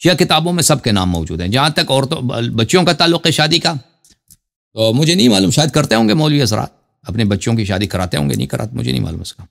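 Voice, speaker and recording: A man's voice: medium pitch, expressive, quick pace, gravelly, a bit bright. A man hosting a religious call-in show, talking informally and courteously with callers and repeating words for emphasis.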